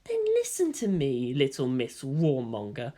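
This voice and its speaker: A woman's voice: very deep voice, huge pitch swings, measured pace, warm tone, voice pushed down, exaggerated voice. Lady reading as a cold vengeful woman, lectures at you, voice bobbing up and down a lot. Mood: scornful. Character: bitter vengeful woman, former slave.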